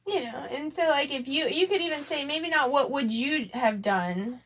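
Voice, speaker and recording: A woman with a fairly high-pitched voice talks casually as a podcast co-host. She rambles gently, thinking aloud as she builds each idea. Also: lively intonation, moderate pace. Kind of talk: casual podcast chat about family devotions.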